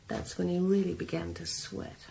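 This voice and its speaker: An actress playing a young woman killer calmly confessing her revenge in a 1980s radio drama. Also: fairly low pitch, vocal fry. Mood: cold confessing. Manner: calm, measured, quietly cold. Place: sauna.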